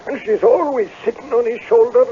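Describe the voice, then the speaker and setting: An actor in a radio drama playing a laird's stern, gloomy Scottish rent collector, grave and full of foreboding. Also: male voice, very high voice, fairly quick. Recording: muffled old radio broadcast, faint noise.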